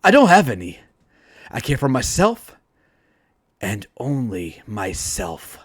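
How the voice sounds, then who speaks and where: A man's voice: mid-range voice, wildly swooping pitch, slow pace, slightly rough, no room sound, studio quality, heavily acted. A man voicing a gloating, vengeful supervillain in a superhero story, mocking and boastful. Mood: cold, boastful. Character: Voltage, gloating vengeful male lightning supervillain.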